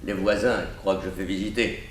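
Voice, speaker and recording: An actor playing a calm, coercive and sardonic blackmailer in a 1960s French radio crime drama. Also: male voice, deep voice, brisk, slight background noise, close to an everyday voice. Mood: smooth, confiding. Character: menacing male blackmailer, smooth crook in haute couture.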